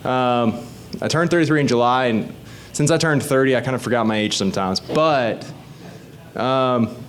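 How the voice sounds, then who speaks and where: A man in his thirties talks plainly and conversationally to a local group, explaining things step by step. His voice sits at an ordinary middle pitch, and a faint low rumble runs underneath. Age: 30s. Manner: conversational and explanatory, often asks the audience questions. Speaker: state legislative candidate. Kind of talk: informal talk to a community group.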